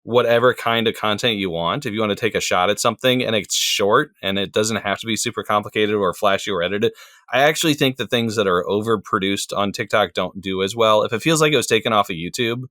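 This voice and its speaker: A man's voice: lowish voice, quick pace, sharp tone, no room sound, studio quality. A man talking as a podcast guest, easygoing and thoughtful, with frequent fillers. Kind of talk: casual chat.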